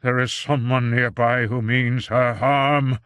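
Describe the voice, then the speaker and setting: A man voicing a tormented, cursed magician who speaks slowly and intensely in an audiobook. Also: medium pitch, steady tone, a bit bright, clear, resonant tone, no room sound. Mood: urgent. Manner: slow, intense and declamatory. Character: tormented cursed male magician, grave and brooding. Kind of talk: audiobook character voice.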